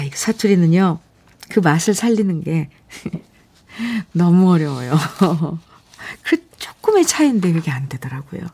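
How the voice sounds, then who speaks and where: A woman's voice: mid-range voice, a little mellow. A woman hosting a radio show, chatting in a friendly way and reading listener messages aloud into a studio microphone. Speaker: radio host.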